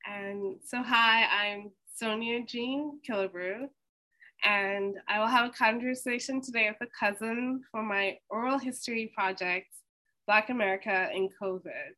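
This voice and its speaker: A woman hosts an oral history interview with a bright, ringing voice. She speaks in a slow, measured way. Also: on the high side, poor audio. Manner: slow, measured, scripted-sounding introduction. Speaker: host.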